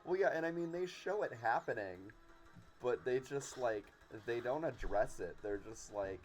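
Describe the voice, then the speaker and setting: A young man hosting a casual podcast chat, speaking informally and haltingly, often repeating his words. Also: mid-range voice, animated, faint music behind. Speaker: host.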